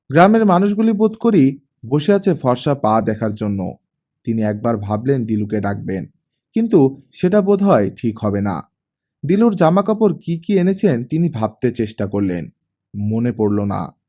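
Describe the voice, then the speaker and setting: Male audiobook narrator, mellow tone, animated intonation, expressive scripted storytelling. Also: medium pitch.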